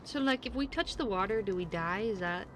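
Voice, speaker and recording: A woman doing casual live game-stream commentary in an expressive, exclamatory, giggly way, swearing freely. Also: medium pitch, brisk, background noise. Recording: game music behind the voice. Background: video game music.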